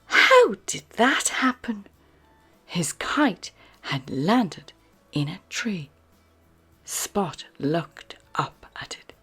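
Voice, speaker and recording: A woman reading a picture book aloud to children, expressively and with breathy, deliberate emphasis. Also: on the low side, very animated, fairly bright tone. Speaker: children's storyteller. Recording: music behind the voice.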